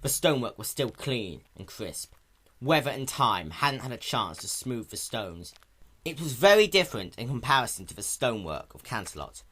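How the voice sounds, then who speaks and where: A man's voice: medium pitch, very animated, normal speed, fairly bright tone, slightly rough. A man narrating a piece of fan fiction as a dramatic reading.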